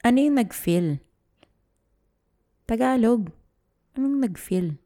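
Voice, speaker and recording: A woman's voice: fairly high pitch, expressive, slow, dark tone. A woman co-hosting a podcast in casual chat, teasing and prompting in short remarks. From half Filipino. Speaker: podcast co-host.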